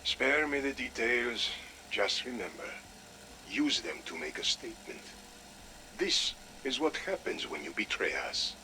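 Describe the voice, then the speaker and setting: Forceful, scheming Soviet colonel. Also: male voice, mid-range voice, big pitch swings, heavily acted. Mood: commanding. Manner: deliberate, authoritative, clipped orders. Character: commanding, scheming Soviet colonel, cold and menacing. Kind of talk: video game audio log acting. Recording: noisy audio log.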